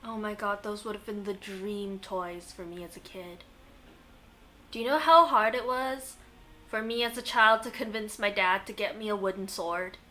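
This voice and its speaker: A young adult woman chatting casually as she plays a game, rambling through animated anecdotes. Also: mid-range voice, normal speed, a little hiss or hum. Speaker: game streamer. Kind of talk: casual chat while playing a game.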